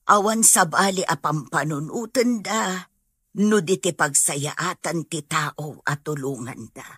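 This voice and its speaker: A woman's voice: low-pitched, slow, fairly bright tone, breathy edge, dry recording, heavily acted. A woman playing a humble, pious mother in an Ilocano radio drama, earnest and imploring. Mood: pleading, grateful.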